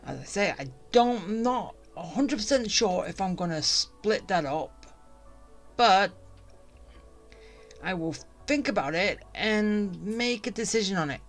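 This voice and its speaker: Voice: mid-range voice, lively intonation, unhurried, soft background music. A game streamer giving casual, rambling and grumbling commentary while playing. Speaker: gaming streamer.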